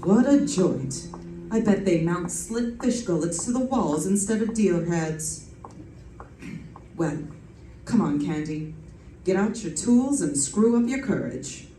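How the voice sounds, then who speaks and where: A woman playing a wry, quick-witted female private detective in an old-time radio drama, with a sardonic, snappy delivery. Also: fairly low pitch, expressive, warm tone, slight background noise. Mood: determined. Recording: roomy, distant stage pickup.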